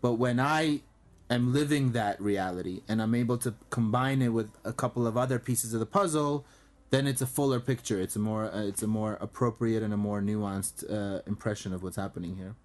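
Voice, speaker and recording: A man whose first language is Armenian, a guest on a talk show, explaining his diplomatic work in a fluent, steady manner with frequent ums and uhs. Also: on the low side, brisk. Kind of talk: casual interview talk.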